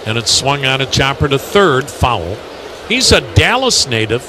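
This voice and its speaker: A man's voice: mid-range voice, very animated, measured pace, background noise. A man announcing live baseball play-by-play on the radio.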